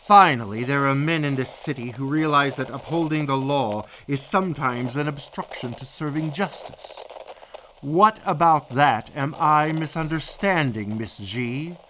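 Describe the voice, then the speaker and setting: A man's voice: a little high, unhurried, fairly bright tone, slight background noise, low-quality recording. Man playing a stern old-time police commissioner. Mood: indignant. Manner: stern, scolding, authoritative. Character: stern, indignant male police commissioner, gruffly authoritative. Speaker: police commissioner, phone-in caller. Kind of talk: 1940s radio drama acting.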